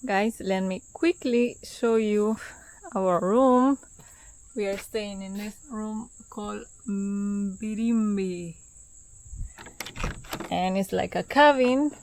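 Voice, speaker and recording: A pregnant woman, speaking slowly and enthusiastically, repeating words as she narrates her travel vlog. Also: mid-range voice, darkish tone, a little hiss or hum, rough recording. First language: Spanish. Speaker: travel vlogger. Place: outdoors at a lodge.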